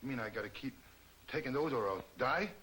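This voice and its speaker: An actor playing a tough, slangy, rebellious gangster in a 1940s movie serial. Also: male voice, mid-range voice, lively intonation, fairly quick, a bit bright, a little hiss or hum, natural voice, poor audio. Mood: angry, threatening. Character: rough, rebellious revived gangster, man. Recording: faintly humming 1940s film soundtrack.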